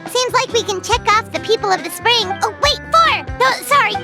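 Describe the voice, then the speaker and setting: A tiny childlike fairy sidekick from a video game. The voice is piercingly high and swoops about with cheeky, bubbly cheer. Loud music runs behind it. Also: female voice, expressive, brisk. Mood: cheerful. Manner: bubbly, teasing. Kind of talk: video game character acting.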